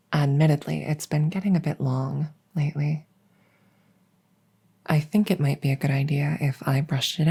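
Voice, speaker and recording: A devoted vampire maid, acted by a woman, speaks in a low, mellow murmur with a breathy softness. Her phrasing is formal and deferential, soothing and gently teasing, in the intimate style of an ASMR roleplay. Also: heavily acted. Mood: soothing, caring. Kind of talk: ASMR roleplay, intimate personal-attention audio.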